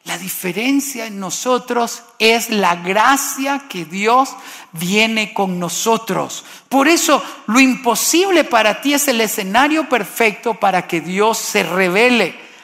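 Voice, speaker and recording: A man's voice: sits high, lively intonation, slow, bright, forceful tone, long reverb tail. A man from Costa Rica preaching a sermon, impassioned and building to exclamations.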